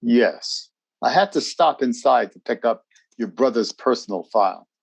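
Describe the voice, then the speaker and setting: An actor playing a firm, procedural military security officer in a radio drama, formal, brisk and husky. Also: male voice, mid-range voice, animated. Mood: firm, courteous.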